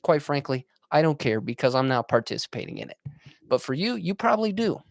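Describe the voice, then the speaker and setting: A man's voice: a little high, sing-song, fairly quick, vocal fry, dry recording, studio quality. A man in his twenties talking earnestly and casually as a podcast host, motivational in tone, with frequent fillers and restarts. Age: 20s. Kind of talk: casual monologue.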